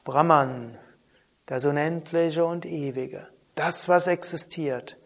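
A man teaching a spoken lesson on yoga philosophy in a calm, measured way, often asking a soft "hm?". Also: fairly high pitch. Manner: calm, measured, with frequent soft trailing drops and questioning "hm?". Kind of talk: spoken lesson on Vedanta terms.

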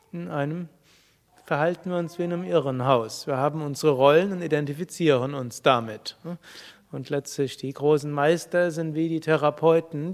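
Calm man teaching in a reverberant hall. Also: a little high. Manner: calm, measured, with pauses and hums. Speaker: spiritual teacher. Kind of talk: spiritual talk to a live audience.

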